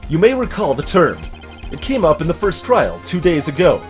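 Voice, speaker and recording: A man voicing an earnest young male defense attorney in a video game, making emphatic, deliberate declarations. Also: fairly high pitch, sing-song, soft background music. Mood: confident explaining. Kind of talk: video game dub acting.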